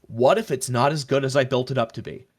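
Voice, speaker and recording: A man's voice: medium pitch, fast talker, darkish tone. A man co-hosting a casual discussion of tabletop roleplaying, telling stories in an earnest, emphatic way. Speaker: podcast co-host.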